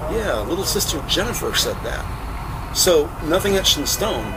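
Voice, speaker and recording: A man narrates a police procedural in a deliberate, dramatic present-tense style. His voice sits a little high and somewhat away from the microphone. Loud outdoor city and car ambience surrounds it. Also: distant mic, heavy background noise.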